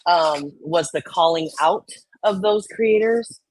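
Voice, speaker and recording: A woman's voice: on the low side, slow, a little mellow, no echo, poor audio. A woman co-host sharing opinions in a casual, earnest conversational way.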